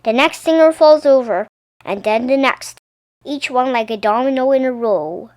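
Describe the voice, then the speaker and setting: A woman narrating a children's story in an animated, theatrical read-aloud style. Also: high voice, normal speed, no room sound. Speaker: storybook reader.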